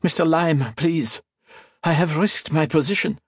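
A man's voice: a little high, unhurried, breathy edge, dry recording, clean studio sound, voice pushed up, exaggerated voice. A man voicing an anxious male priest archivist with a nasal tone and a light French accent. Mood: pleading. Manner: pleading, nervous.

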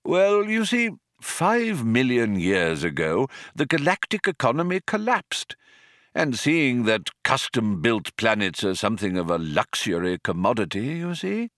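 A man voicing a kindly, absent-minded old man in an audiobook, speaking in a solemn, polite, unhurried and slightly wistful manner. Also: mid-range voice, very animated, no room sound, studio quality. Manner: vague, unhurried, wistful, gently formal. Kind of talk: audiobook character voice.

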